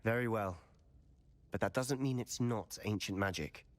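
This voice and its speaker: A man's voice: lowish voice, moderate pace, close to an everyday voice. A man playing an earnest, inquisitive teenage British wizard student in a video game cutscene, speaking in a conversational, probing way. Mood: earnest, intrigued.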